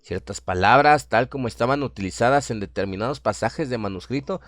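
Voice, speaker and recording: A man narrating a scripted horror radio drama in a measured, literary, dramatic storytelling manner. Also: mid-range voice, fairly bright tone, dry recording.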